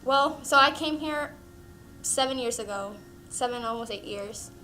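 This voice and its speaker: A woman's voice: a little high, unhurried, bright, forceful tone, poor audio. A young woman from Puerto Rico, a native Spanish speaker, telling personal stories casually and animatedly. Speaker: interviewee, peer mentor. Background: background music.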